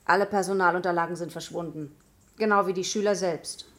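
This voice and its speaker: A woman's voice: fairly low pitch, steady tone, fairly quick, fairly bright tone, close to an everyday voice. A woman playing a flight school assistant in a radio drama, clipped, stern and suspicious. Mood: curt, guarded. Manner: clipped, stern, matter-of-fact. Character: curt, suspicious female flight instructor's assistant.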